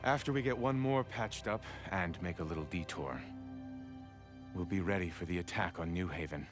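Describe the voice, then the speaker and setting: An actor playing an ambitious, self-righteous villain commander in a video game cutscene, commanding and persuasive. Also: male voice, low-pitched, moderate pace, lightly acted. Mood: smug. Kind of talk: animated game cutscene acting. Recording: loud music behind the voice.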